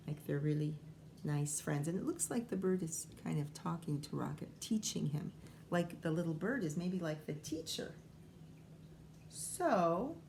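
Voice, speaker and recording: A woman's voice: lowish voice, vocal fry, mellow, a little hiss or hum. A woman schoolteacher reading a children's picture book aloud, informally thinking aloud and wondering as she goes.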